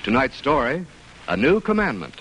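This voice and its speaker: A man's voice: medium pitch, big pitch swings, normal speed, heavy background noise. A man making formal, declamatory announcements in the style of 1940s sponsored radio.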